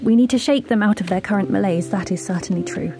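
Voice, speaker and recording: Measured, cautious bird played by a woman. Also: medium pitch, over music, close to an everyday voice. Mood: earnest, cautious. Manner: deliberate, hesitant, reasoning. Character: measured, conciliatory, thoughtful female bird, a voice of caution. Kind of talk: audio drama acting.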